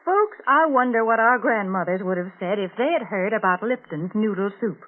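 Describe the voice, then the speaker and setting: A woman's voice: mid-range voice, expressive, normal speed, slight background noise, close to an everyday voice. A woman playing a genial, prim tea spokeswoman, with homey, persuasive chat in 1940s radio advertising style. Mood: cheery selling. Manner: homey, gently scolding, persuasive. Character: genial, primly chiding woman tea pitcher. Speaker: commercial spokeswoman. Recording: tinny, muffled old radio broadcast.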